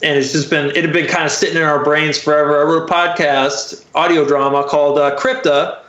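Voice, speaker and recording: An American man with a fairly high voice, chatting as an interview guest. He talks casually and haltingly, feeling his way through sentences. The sound is slightly dull, with a lot of room echo around it. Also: flat delivery, fairly bright tone. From Florida, United States. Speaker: podcast guest, audio drama writer.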